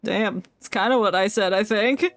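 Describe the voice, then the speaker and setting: A woman's voice: mid-range voice, moderate pace, dry recording. A woman streamer giving casual live game commentary in wry, offhand asides on a close mic.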